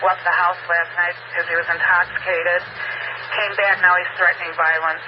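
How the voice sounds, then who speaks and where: A man's voice: sits high, flat delivery, moderate pace. A man working as a police radio dispatcher, giving clipped, procedural calls over the radio. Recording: muffled police scanner radio with static noise.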